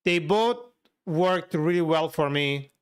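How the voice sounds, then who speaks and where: Turkish accent guy streaming, smooth clear voice, kinda high, talking casually to chat. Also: takes it slowly. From Turkey. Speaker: livestreamer, software developer. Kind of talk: casual livestream chat, answering viewers while working.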